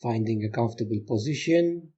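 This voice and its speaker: Male meditation guide, mellow and husky, gentle instructional delivery with pauses, lo-fi muffled sound. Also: mid-range voice, animated. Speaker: meditation teacher.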